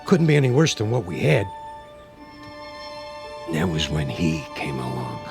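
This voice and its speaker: A chatty American old-timer in a radio play, reminiscing about baseball in a folksy, rambling way. His low, creaky voice swings freely up and down. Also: wildly swooping pitch, measured pace, music in the background, exaggerated voice. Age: older. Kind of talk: radio drama acting.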